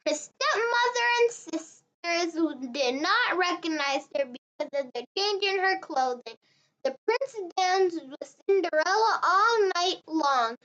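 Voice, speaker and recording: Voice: very high voice, bright, forceful tone, lo-fi sound. A child reading a children's fairy tale aloud, word by word like a beginner reader. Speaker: story reader.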